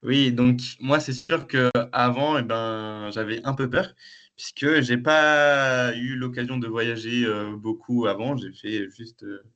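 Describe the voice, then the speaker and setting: A young man recounts his stay abroad, hesitating and filling the gaps with filler words. His voice is mid-pitched. The audio is dull, like a video call. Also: steady tone, slow pace, a bit bright. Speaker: apprentice sharing an exchange experience. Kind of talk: webinar testimony.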